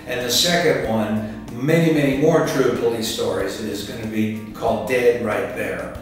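A man in his sixties giving casual interview answers in a rambling, halting way, often repeating words. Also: lowish voice. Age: 60s. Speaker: author and martial arts instructor being interviewed. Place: indoor room by a curtained window. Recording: echoey room, distant mic, music underneath. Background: background music.

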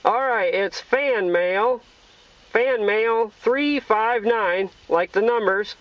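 High-pitched man hailing over CB. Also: slow pace, no room sound. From Iowa, United States. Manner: repetitive calling out, hailing. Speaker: CB radio operator. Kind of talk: casual CB radio chat in radio slang. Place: inside a vehicle. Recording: noisy, muffled in-car CB radio recording.

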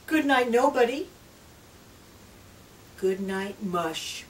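Middle-aged woman reading slowly to children, noisy recording. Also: on the high side. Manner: gentle, expressive, drawn-out phrases with hushed whispers and questions to the listener. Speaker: children's read-aloud presenter and trainer. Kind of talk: picture-book read-aloud for young children. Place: small indoor room lined with picture books. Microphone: far camera microphone. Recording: distant microphone, clear background room noise.

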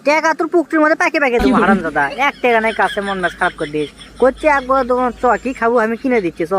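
A man plays an excitable young village schemer in a comic cartoon. He has a high voice and a Bogura accent. The words tumble out extremely fast, wheedling and exclamatory, over faint background noise. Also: exaggerated voice. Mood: boastful. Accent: Bogura, northern Bangladesh. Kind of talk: comic cartoon dialogue in rural dialect.

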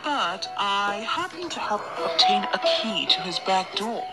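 A woman's voice: mid-range voice, sing-song, measured pace, over loud music. An actress playing a sly, confident female spy in a video game. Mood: sly, teasing.

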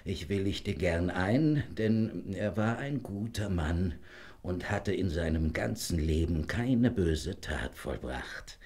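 An actor playing a frail old dying father, gentle, solemn and deliberate, in a fairy-tale audio drama. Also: deep voice, expressive, full character voice. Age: older.

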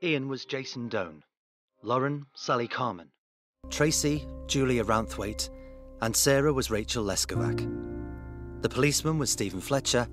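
A man's voice: medium pitch, lively intonation, moderate pace, fairly bright tone. A man reading a scripted announcement as a radio announcer. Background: guitar music.

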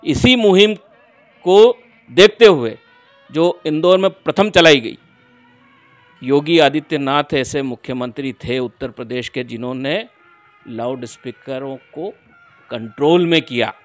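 A middle-aged man giving emphatic, deliberate political answers as an organisation's spokesman in an interview, into a handheld microphone. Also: mid-range voice, soft background music. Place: small furnished room indoors, seated on a sofa. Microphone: handheld reporter's microphone held close.

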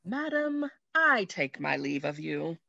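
Dry, slightly dull call audio carries a woman acting a formal gentry mother in an old comedy. She speaks slowly and with stately respectability. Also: sits low, big pitch swings, vocal fry, sharp tone, no room sound, full character voice. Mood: gracious, measured. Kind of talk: classical stage comedy acting. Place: indoors at home, on a video call.

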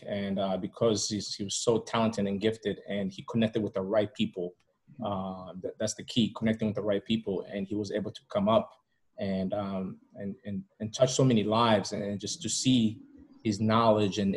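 A man's voice: on the low side, a little mellow. A man from Rwanda talking casually as an interview guest on a video call, often repeating words and leaning on "you know". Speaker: podcast guest. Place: indoor room at home, video call.

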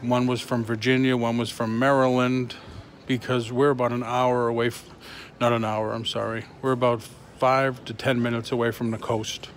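A middle-aged man chatting casually on a live vlog from inside a shop, in a halting way, recorded on a handheld phone. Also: medium pitch, flat delivery. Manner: halting, reading and answering comments. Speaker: toy reseller livestreaming. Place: large concrete-floored antique mall booth indoors. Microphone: phone held at arm's length or closer. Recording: handheld phone with clear ambient noise.

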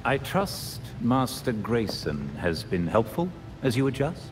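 Measured elderly British gentleman. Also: medium pitch, very animated, background noise. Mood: solemn. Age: older. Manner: measured, courteous, dry. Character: dignified, loyal elderly butler, former sergeant. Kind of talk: video game cutscene acting.

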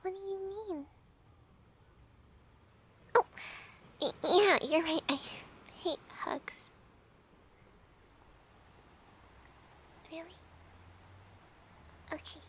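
Woman acting a high-pitched, teasing tsundere girlfriend. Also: slow, long pauses, a bit bright, heavily acted. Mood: pouty, teasing. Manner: playful, pouty, teasing, often dropping to breathy murmurs. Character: flustered, teasing young tsundere girlfriend, bratty but affectionate. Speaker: ASMR roleplay performer. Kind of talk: intimate ASMR girlfriend roleplay.

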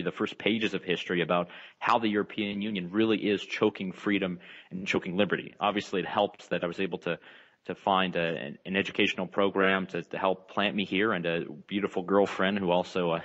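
A man with an American accent answers radio interview questions as a journalist guest. He speaks earnestly and haltingly, in a low voice. The sound is dull and lo-fi. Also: fairly bright tone.

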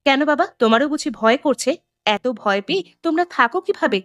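A woman's voice: sits high, expressive, quick pace, fairly bright tone, no echo, studio quality. A woman playing a fearless, level-headed young village daughter in a horror cartoon, firm and questioning. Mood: determined. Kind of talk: animated horror story acting.

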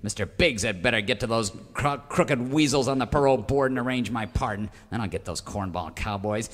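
An actor playing a sour, scheming convict villain in a parody radio serial, with a sarcastic, oily manner. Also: male voice, mid-range voice, brisk, lightly acted. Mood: sulking, bitter. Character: scheming, sour jailed villain with a wheedling streak.

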